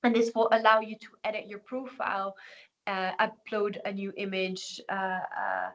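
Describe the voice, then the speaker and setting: A woman's voice: medium pitch, animated, moderate pace, muffled recording. A woman hosting an online webinar, walking through a website with many fillers and restarts. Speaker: network host presenting the platform.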